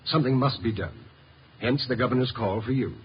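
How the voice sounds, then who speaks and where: An actor playing a discreet government emissary, formal, measured and earnest, in a 1950s radio drama. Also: male voice, medium pitch, lightly acted. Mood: grave, formal. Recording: muffled, noisy vintage radio recording.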